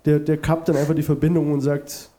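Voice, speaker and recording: A man's voice: fairly high pitch, level tone, fairly quick, warm tone. A man with a cold presenting at a conference and answering audience questions, with many fillers and restarts. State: has a cold.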